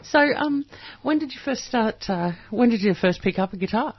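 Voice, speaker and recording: A woman's voice: a little high, expressive, moderate pace, breathy edge, no room sound, lo-fi sound. A woman interviewing a guest, asking questions in a chatty, encouraging way. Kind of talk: casual interview.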